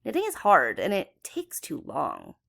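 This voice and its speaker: A woman's voice: low voice, huge pitch swings, a little slow, vocal fry, a bit bright, heavily acted. A woman voicing a caring, fretful young girl in a children's storybook, speaking earnestly and expressively. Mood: reluctant.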